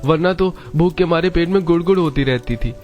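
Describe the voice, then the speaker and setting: A man's voice: fairly high pitch, fairly quick, a little mellow, lightly acted. A man playing an earnest, supportive young working husband in a cartoon story. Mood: pleased. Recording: dull lo-fi track with music.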